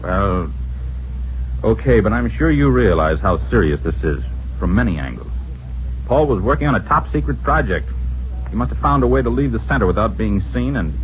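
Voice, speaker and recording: An actor playing a sober, authoritative American official, businesslike and earnest, in an old-time radio drama. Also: male voice, lowish voice, big pitch swings, normal speed. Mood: grave, worried. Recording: muffled, faintly noisy old radio recording.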